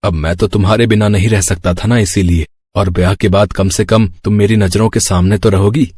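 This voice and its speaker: A man's voice: on the low side, steady tone, brisk, darkish tone, slightly rough, no echo, clean studio sound. A man voicing a devoted, reassuring young village husband in a storybook tale, earnest and soothing.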